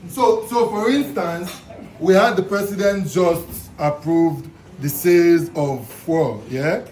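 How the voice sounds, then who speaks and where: A man's voice: a little high, slow pace, darkish tone, faint music behind, low-quality recording. A man with a Nigerian accent being interviewed, arguing insistently and persuasively. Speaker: protester interviewed. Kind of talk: street interview.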